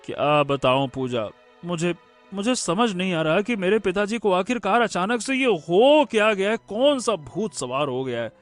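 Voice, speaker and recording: Man playing a high-voiced, dramatic young hero. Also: animated, moderate pace, faint music behind. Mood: troubled, bewildered. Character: troubled young man in love, animated tale hero.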